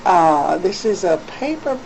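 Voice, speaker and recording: A woman's voice: lowish voice, big pitch swings, unhurried, gravelly, a little mellow. A woman working as a psychic gives casual one-to-one advice, instructive and emphatic, often repeating herself for weight. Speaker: psychic giving a private reading. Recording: noisy home cassette tape with hum.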